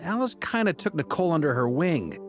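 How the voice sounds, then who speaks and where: A man's voice: fairly high pitch, very animated, brisk, faint music behind. A man playing an easygoing, jovial knight in a video game, breezy and teasing in delivery. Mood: casual, explanatory. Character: easygoing, jovial adult male knight commander. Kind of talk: English video game voice acting.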